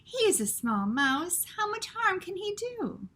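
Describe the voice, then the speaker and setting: A woman voicing a dramatic, dismissive French mother mouse, speaking high and loud with theatrical mock-French phrasing. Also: big pitch swings, measured pace, full character voice.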